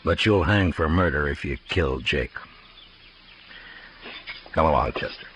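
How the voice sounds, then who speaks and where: An actor playing a stoic frontier marshal in a 1950s radio Western, terse, firm and grimly weary. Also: male voice, rumbling low, lively intonation, a little slow, a bit bright, full character voice. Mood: stern, measured. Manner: terse, plainspoken, authoritative. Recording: noisy old 1950s radio recording.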